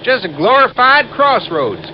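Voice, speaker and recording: A man's voice: very high voice, huge pitch swings, a little slow, heavily acted. An actor playing an earnest, rambling young insurance man in a 1950s American radio drama, folksy and hesitant. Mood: folksy explaining. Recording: muffled, noisy old radio recording.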